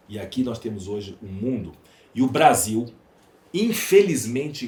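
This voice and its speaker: A Brazilian man with a Brazilian Portuguese accent, speaking emphatically and rhetorically as an opinionated podcast guest. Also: mid-range voice. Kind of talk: opinionated interview talk. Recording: roomy echo, faint background noise.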